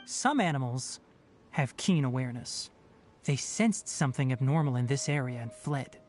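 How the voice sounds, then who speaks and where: A young male forest ranger from a video game, played by a man, explains things in a precise, matter-of-fact way. His articulate, composed voice has a medium pitch and animated intonation, with faint music underneath. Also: normal speed, close to an everyday voice. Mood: explanatory. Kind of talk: video game character acting. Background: light music.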